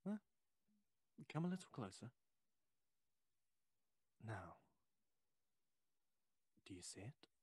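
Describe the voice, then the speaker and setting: An actor playing a young, clear-minded gentleman visitor in a Victorian ghost story, formal and reassuring, urging calm. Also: on the low side, slow pace, pauses often, no room sound, exaggerated voice. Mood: probing, cautious.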